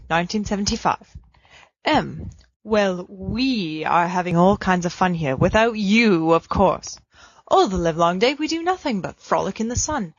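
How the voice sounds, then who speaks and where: A woman's voice: fairly low pitch, dry recording. Woman reading with playful theatrical swings. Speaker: podfic reader. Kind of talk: reading a fan story letter aloud. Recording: dry, dull lo-fi recording.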